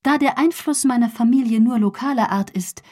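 An actress playing the genteel, devoted wife of a bankrupt gentleman in a radio drama, formal, dignified and sentimental. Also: on the high side, brisk, close to an everyday voice.

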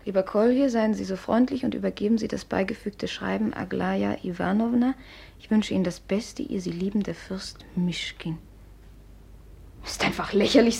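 An actress in a German radio play, playing a proud, sharp-tempered young upper-class woman in a theatrical manner. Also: medium pitch, vocal fry, slightly rough. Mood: reading coolly, then indignant, scornful.

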